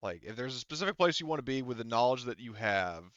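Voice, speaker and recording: A man acting as game master of a tabletop roleplaying game, talking informally and haltingly with some swearing. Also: medium pitch, big pitch swings, a bit bright, no room sound, lo-fi sound.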